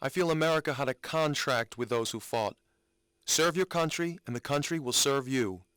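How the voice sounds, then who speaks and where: Clear-voiced man reading as solemn, earnest commentator. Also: mid-range voice, normal speed, a bit bright, no echo, studio quality, natural voice. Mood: bitter, earnest. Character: earnest man honoring veterans, solemn and aggrieved. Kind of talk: dramatic reading of written commentary.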